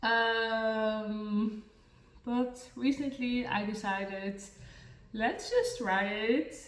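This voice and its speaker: Slow, hesitant Dutch-accented young woman. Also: on the high side. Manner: self-conscious, hesitant, many fillers and restarts. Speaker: beauty and mental health vlogger. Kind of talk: casual talk to camera while doing makeup. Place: room in a new home, indoors. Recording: echoey room, mic far from the speaker.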